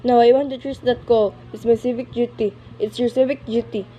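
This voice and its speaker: A woman's voice: a little high, steady tone, fairly quick, mellow, muffled recording, slight background noise, close to an everyday voice. A woman playing a nervous, imperious married woman in a radio play, speaking in a fretful, emphatic way. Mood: indignant, demanding. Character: nervous, demanding, sickly married woman alone at home.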